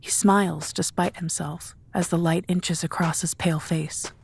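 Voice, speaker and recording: A woman narrates a dark audio drama from a script. Her voice sits low and has a husky, breathy texture. She describes each scene in a measured storytelling style. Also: fairly even intonation, dry recording.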